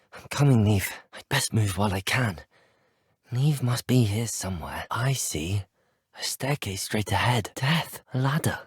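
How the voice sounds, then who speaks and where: Well-spoken young wizard hero. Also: male voice, on the low side, husky, dry recording, studio quality. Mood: quiet, wary. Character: earnest, brave teenage student wizard hero, well-spoken. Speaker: video game voice actor. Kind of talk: video game dialogue clips.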